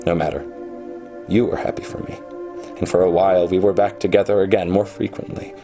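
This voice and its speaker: An actor as a low-voiced, brooding programmer genius. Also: male voice, little pitch movement, brisk, over music. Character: proud, obsessive male programmer genius, haunted and desperate. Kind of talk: radio play acting with confessional narration.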